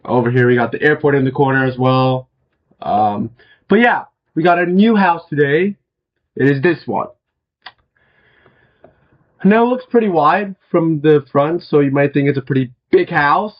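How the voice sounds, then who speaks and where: A young man with a hoarse, worn-out voice, chatting casually as he walks viewers through a house in a video game, with many fillers and throat clearings. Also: a little high, moderate pace, pauses often, lo-fi sound. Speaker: gaming video host. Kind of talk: casual game walkthrough commentary.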